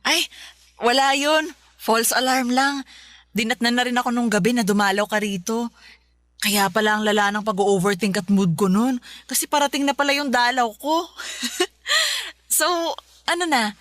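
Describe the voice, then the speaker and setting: A woman's voice: on the high side, normal speed, pauses often, fairly bright tone, no echo, studio quality, natural voice. A woman playing a sly young mistress in a Filipino radio drama, feigning innocence with a smug, teasing manner. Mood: chirpy, teasing.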